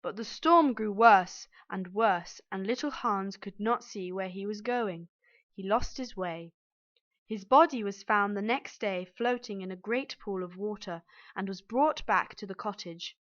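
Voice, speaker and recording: A woman's voice: mid-range voice, a little slow, fairly bright tone. A woman narrating a scripted children's story as its reader. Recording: dull, band-limited audio.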